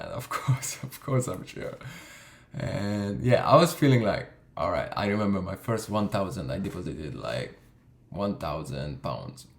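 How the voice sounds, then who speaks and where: A man's voice: low-pitched, gravelly. A young man from Hungary tells his story in a casual, profane way, with lots of fillers. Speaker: vlogger. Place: room in London. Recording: echoey room.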